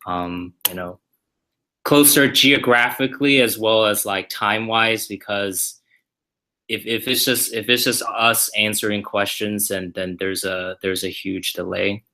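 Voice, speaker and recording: A man on company staff answering viewer questions in a casual livestream from the office, halting and full of fillers and restarts. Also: on the low side, fairly even intonation, sharp tone. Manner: halting, many fillers and repeated starts. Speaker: company staff member hosting a Q&A.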